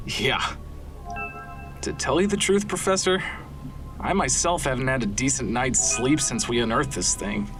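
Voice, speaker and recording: A man's voice: medium pitch, unhurried, pauses often, music in the background, close to an everyday voice. A man playing an earnest, anxious archaeologist's assistant with a wry streak in an audio drama. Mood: worried. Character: male archaeologist's assistant, anxious, deferential, wryly sarcastic.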